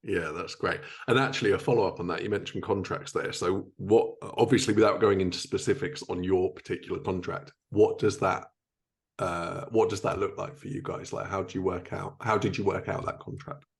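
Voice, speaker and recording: Very low-pitched male podcast host. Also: fairly even intonation, brisk. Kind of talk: casual interview chat.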